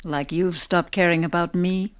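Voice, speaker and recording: A weary, long-suffering wife and mother played by a woman in a radio drama. She has a low voice and an earnest, subdued way of speaking. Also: steady tone, moderate pace, smooth, lightly acted. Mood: wounded. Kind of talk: radio play acting.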